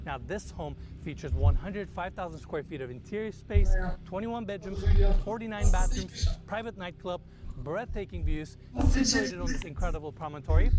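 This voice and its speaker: A man with a fairly high voice leads a luxury home tour, narrating with eager enthusiasm as he rattles off feature after feature. The recording carries a heavy wash of background noise under his voice. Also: normal speed, hardly any pauses. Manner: enthusiastic, showcasing, listing features. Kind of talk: scripted property tour narration. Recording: loud background noise in the clip.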